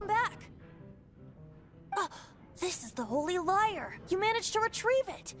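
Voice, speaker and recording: A woman's voice: extremely high pitch, sing-song, a little slow, soft background music, exaggerated voice. A woman voicing a breezy, cheeky young male bard in a video game, lighthearted and theatrical. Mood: delighted, playful.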